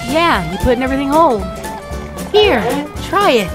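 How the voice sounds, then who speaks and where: A woman's voice: high-pitched, big pitch swings, normal speed. A woman voicing a cheerful young child lizard creature, earnest and proud, in a cartoon comic dub. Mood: eager, earnest. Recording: music behind the voice.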